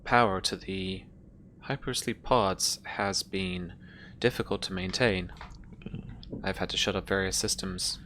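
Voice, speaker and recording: A man voicing a ship's computer in a tabletop game, with formal, measured, obedient reporting. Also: low voice, steady tone, sharp tone, a little hiss or hum.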